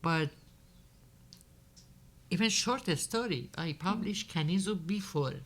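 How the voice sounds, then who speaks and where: Soft-spoken older woman, Persian accent. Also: lowish voice, animated. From Iran. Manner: reflective, halting, repeats words. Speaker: guest author. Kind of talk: panel discussion.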